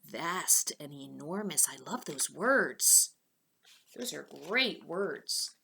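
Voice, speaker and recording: Woman teacher, storybook read-aloud, slow, very varied pitch, expressive, speaking to kids. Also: vocal fry, a little hiss or hum. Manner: expressive, addresses young listeners directly. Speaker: teacher reading aloud to children.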